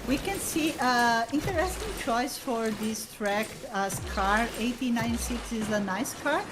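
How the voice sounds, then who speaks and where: A woman's voice: fairly high pitch, moderate pace, background noise. A woman from Brazil with a Brazilian Portuguese accent, co-casting a live esports race and giving friendly, plain comments on play. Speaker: stream host and co-caster. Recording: live stream with loud game music. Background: game music.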